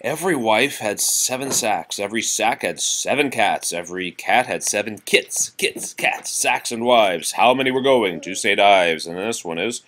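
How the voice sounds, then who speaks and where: A man reading nursery rhymes aloud to a young child in an animated sing-song, with patient, casual asides as a parent. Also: lowish voice, normal speed, hardly any pauses, slight background noise.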